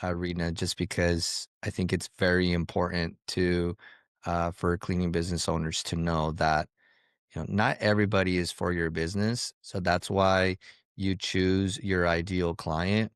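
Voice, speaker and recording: Male podcast host, deep voice, dry close sound, emphatic advice-giving delivery. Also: little pitch movement, normal speed, clear, resonant tone, no room sound. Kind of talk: podcast interview talk.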